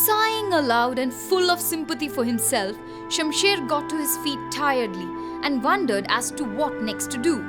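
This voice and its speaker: A woman narrating a scripted children's moral story as an expressive, careful storyteller. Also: sits high, normal speed, over loud music.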